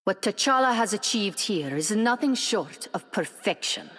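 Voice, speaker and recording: An actress playing a poised, admiring hero in a video game, composed and earnest. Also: female voice, medium pitch, lively intonation, a little slow, a bit bright, slightly rough, dry recording. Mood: thoughtful.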